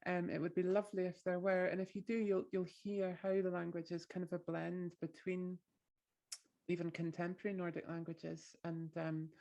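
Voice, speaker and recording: Gravelly-voiced woman poet with Orkney accent. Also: lowish voice, little pitch movement, warm tone. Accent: Orkney Scots. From Orkney, Scotland. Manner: thoughtful, halting, many fillers and restarts. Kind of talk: poetry reading with introduction.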